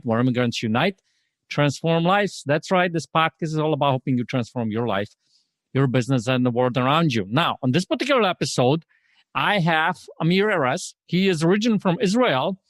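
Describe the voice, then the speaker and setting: A man's voice: mid-range voice, lively intonation, fairly quick, no echo. A man hosting a podcast in an upbeat, emphatic, motivational way, often posing rhetorical questions as he introduces and interviews. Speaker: podcast host.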